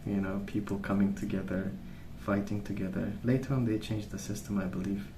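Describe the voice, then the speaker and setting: A soft-spoken man rambling in an ASMR style, with a hesitant, meandering and unhurried way of recollecting. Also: fairly low pitch, level tone. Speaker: ASMR video host. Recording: roomy, distant mic, noisy background. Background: pencil colouring sounds, background noise.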